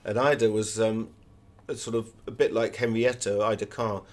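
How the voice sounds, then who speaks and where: Low-voiced man, discursive interview guest. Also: little pitch movement, moderate pace. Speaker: interview guest, author and artist. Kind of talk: conversational interview, telling anecdotes.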